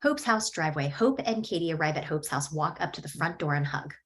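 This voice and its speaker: A woman reading the stage directions at a script table read, in an even, matter-of-fact way. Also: sits low, fairly quick.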